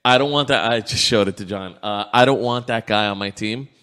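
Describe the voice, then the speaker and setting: A man's voice: on the low side, a little fast, pushed and bright, clear, resonant tone. A man hosting a sports podcast in casual, joking banter, with a blunt, crude and opinionated way of talking. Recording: echoey room with long reverb.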